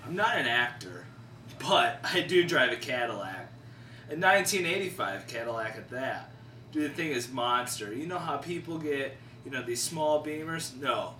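Lively teenage boy, bright roomy sound. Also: mid-range voice, normal speed, slight background noise. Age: teens. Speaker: high school pageant contestant. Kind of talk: casual boastful self-introduction. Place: living room, indoors. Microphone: camera a few feet away. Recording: roomy, distant camera sound, music behind.

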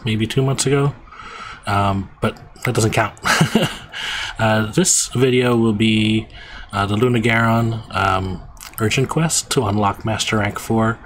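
A man's voice: fairly low pitch, a bit bright. A man in his thirties talking casually as a gaming video host, at a desk microphone in a home room. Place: small home room, indoors. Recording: clear background noise.

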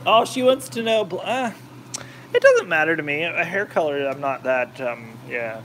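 A man's voice: fairly high pitch, sing-song, moderate pace, fairly bright tone, slight background noise, low-quality recording. A man rambling and joking with his live chat while cooking in his home kitchen. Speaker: cooking vlogger. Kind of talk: casual cooking livestream chat.

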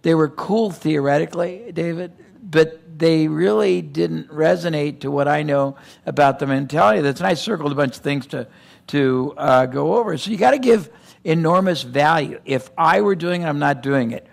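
Older man, gravelly voice, a bit high-pitched, animated keynote speaker, conference stage. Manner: animated, gesturing, rapid digressions and restarts. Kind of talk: conference keynote in seated interview format. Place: conference stage before a live audience.

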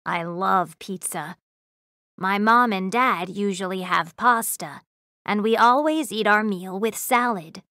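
A friendly young schoolboy, voiced by a woman, reads textbook sentences at medium pitch. His phrasing is simple and careful, and the sound is close and dry. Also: takes it slowly, bright, forceful tone, dry recording. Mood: cheerful. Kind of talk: scripted language-textbook audio reading.

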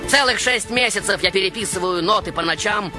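A man acts out a grandiose Baroque composer recounting his own life as a radio show monologue. The voice sits fairly high and is dramatic and ironic, with pointed pauses. Music plays prominently underneath. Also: natural voice. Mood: dramatic storytelling.

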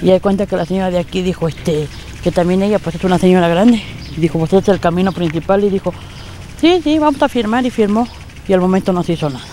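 A woman's voice: lowish voice, quick pace, warm tone, slight background noise. A middle-aged woman with a Mexican Spanish accent, talking in a rambling, filler-heavy way into a handheld mic outdoors by a fence. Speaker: resident interviewed on the street. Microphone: handheld reporter mic.

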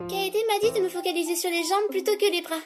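A woman voicing a sweet, polite young schoolgirl in an anime fan dub, in a gentle, upbeat manner. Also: very high-pitched, steady tone, fast talker, over music. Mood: pleased, gentle.

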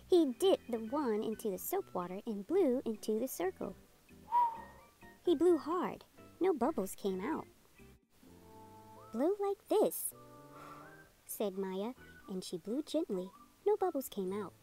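A woman narrating a children's read-aloud in an expressive, deliberate storytelling manner. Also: on the high side. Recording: music behind the voice. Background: music.

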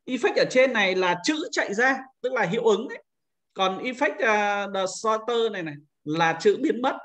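High-pitched man giving a software tutorial. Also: expressive, normal speed. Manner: step-by-step, repetitive explaining. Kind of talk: informal screen-recorded tutorial.

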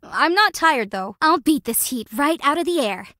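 A scrappy young stunt actress with an Australian accent, brash and teasing. The voice sits high and leaps around in pitch. The studio sound is dry and close, with no room in it. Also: female voice, expressive, normal speed, a bit bright, dry recording. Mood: fierce. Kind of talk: game character voice lines. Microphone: close studio mic.

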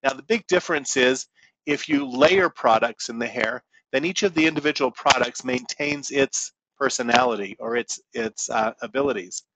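A man's voice: medium pitch, normal speed, background noise. A man presenting products in a salon-business webinar, talking conversationally and correcting himself as he explains. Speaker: company presenter. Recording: dull lo-fi webinar audio.

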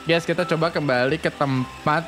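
A gaming streamer chattering playfully and excitably in a live roleplay game, often repeating phrases. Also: mid-range voice, normal speed. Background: music.

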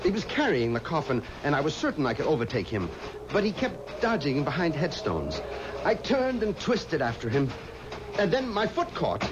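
A man's voice: a little high, wildly swooping pitch, moderate pace. An actor playing a stubborn, God-fearing townsman in an earnest, insistent, theatrical 1970s radio drama style. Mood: suspenseful recounting. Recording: muffled old radio recording with noisy ambience.

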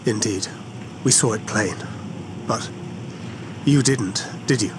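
An actor playing an earnest young elven scholar hero in a fantasy video game. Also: male voice, on the low side, slow, noisy background. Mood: concerned, puzzled. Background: orchestral music.

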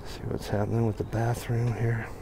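Brief: American man, mid-pitched and gravelly, laid-back walk-and-talk narration, recorded on a camera mic outside with traffic noise. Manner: relaxed, thinking aloud with frequent pauses and fillers. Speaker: videographer on a walking site tour. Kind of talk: casual walking commentary. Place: outdoor construction site in a city plaza. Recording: outdoor camera audio with noticeable background noise.

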